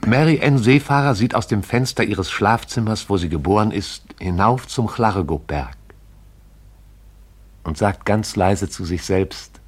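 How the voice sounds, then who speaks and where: A deep male storyteller for a radio play. He narrates in a measured, poetic way, lingering over lyrical description. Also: lively intonation, a little hiss or hum.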